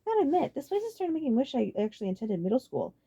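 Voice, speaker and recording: A narrator, a woman, voices a sweet and gentle young woman in an audiobook. She sounds careful and girlish, with a high, swinging pitch. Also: very animated, rapid-fire, slightly rough, heavily acted. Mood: sweet, giggly.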